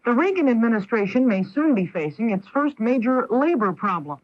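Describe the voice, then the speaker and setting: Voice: medium pitch, very animated, a little fast, lo-fi sound. A news anchor reading scripted radio news on an old 1980s broadcast.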